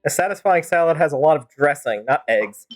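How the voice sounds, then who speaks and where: A woman's voice: low-pitched, lively intonation, brisk. A woman game streamer improvising wry, teasing commentary and joking replies to the characters. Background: game music.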